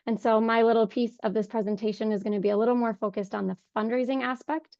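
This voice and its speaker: A young woman with a voice hoarse from a cold, giving an earnest webinar talk on fundraising with many ums. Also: on the high side, fairly even intonation, quick pace, darkish tone, dry recording. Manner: frequent ums, earnest and conversational. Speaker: fundraising director. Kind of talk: webinar presentation. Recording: dull lo-fi dry sound.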